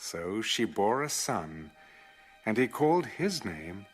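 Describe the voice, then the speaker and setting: A man reads scripture as a dramatic storyteller. His lowish voice moves expressively up and down and takes its time. Soft music sits underneath. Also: big pitch swings, takes it slowly. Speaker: audio Bible narrator.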